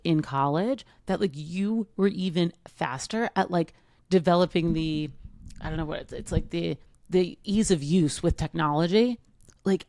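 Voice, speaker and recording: Low, gravelly woman chatting loosely. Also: darkish tone, dry recording, studio quality. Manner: rambling, heavy use of "like". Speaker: podcast co-host. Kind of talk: casual chat.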